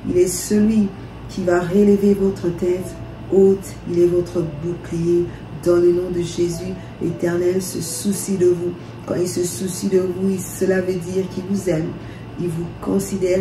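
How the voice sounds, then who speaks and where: A woman's voice: fairly low pitch, little pitch movement, measured pace. A woman from DR Congo, a minister, leading prayer with fervent, repetitive declarations. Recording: echoey room with soft music.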